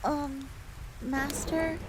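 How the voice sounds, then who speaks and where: A woman's voice: high voice, flat delivery, drawn-out words. A woman playing a devoted, eager fox maid who tells her story expressively in the third person, as in an audio drama. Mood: timid, deferential. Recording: faint crackling fire noise behind voice.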